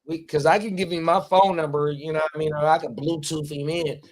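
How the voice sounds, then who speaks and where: A man's voice: on the high side, fairly even intonation, brisk. Emphatic, swearing man in his forties. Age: 40s. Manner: emphatic, swears freely, repeats catchphrases. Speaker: podcast co-host. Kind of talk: casual profane chat.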